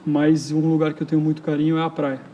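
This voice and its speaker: A man from São Paulo with a São Paulo accent, speaking as a poet interviewed in a park in a reflective, halting way full of hesitations. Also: a little high, flat delivery, muffled recording, a little hiss or hum. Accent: São Paulo Brazilian Portuguese. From São Paulo, Brazil. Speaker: poet and writer, interview guest. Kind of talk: casual interview conversation. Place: outdoors in a park.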